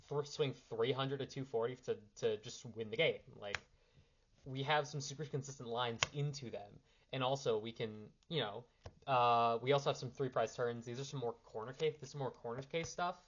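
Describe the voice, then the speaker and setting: A man's voice: medium pitch, moderate pace, muffled recording, noisy background. A young man who is a little sick, casually explaining card game lines off the cuff, rambling and correcting himself as he handles cards. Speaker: card game player giving a strategy primer. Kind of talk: impromptu casual strategy explanation.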